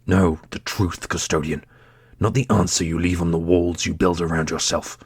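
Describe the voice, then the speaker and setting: A man's voice: deep voice, steady tone, moderate pace, husky, no echo, heavily acted. A man playing an earnest, steadfast warrior prince in a fantasy audio roleplay, speaking with serious deliberation. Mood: caring, pleading. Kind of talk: ASMR fantasy roleplay acting.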